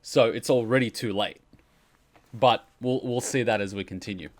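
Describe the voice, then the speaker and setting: A man's voice: on the low side, normal speed. A man hosting a reaction stream, giving casual explanatory commentary. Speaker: host of a game lore reaction stream.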